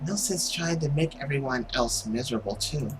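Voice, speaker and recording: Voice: medium pitch, normal speed, noisy background. A narrator reading a visual novel aloud in a theatrical, suspenseful storytelling manner. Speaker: video game playthrough narrator.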